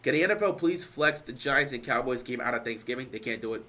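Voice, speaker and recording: Bright-voiced guy doing sports talk, tired and kinda hoarse, keeps hammering his point. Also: mid-range voice, animated, fast talker. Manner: emphatic, repeats points, rising to loud stresses. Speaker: sports show host. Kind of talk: casual sports talk, answering live chat.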